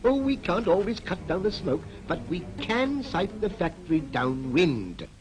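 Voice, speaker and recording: An actor with a British accent playing a brisk, persuasive town planner in a 1940s animated public information film, clipped and instructive. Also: male voice, high-pitched. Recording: vintage 1940s film soundtrack with music.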